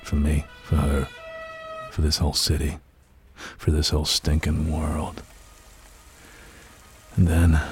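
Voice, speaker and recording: A man playing a world-weary, drunken, nihilistic film noir detective in a brooding, deadpan radio monologue. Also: very deep voice, breathy edge, full character voice. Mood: tormented. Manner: slow, brooding, deadpan narration. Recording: noisy, rain sound behind. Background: rain.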